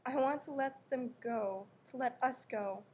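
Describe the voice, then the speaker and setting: Defiant young girl read by a woman: blunt, stubborn, fairly high voice, stage reading with faint noise. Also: measured pace, a little mellow. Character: defiant young girl resisting a false mother. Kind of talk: readers theater performance. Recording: faint background noise, live ambience.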